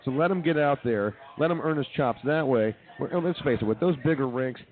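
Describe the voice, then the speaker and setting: A man's voice: mid-range voice, expressive, a little fast. A man co-hosting a casual sports talk show, giving confident opinions and often restarting his sentences. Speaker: co-host. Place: brewery, live show. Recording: tinny phone-like sound.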